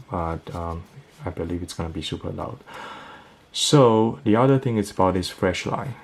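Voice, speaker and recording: A man giving a casual product review, explaining things step by step in a hesitant way. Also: deep voice.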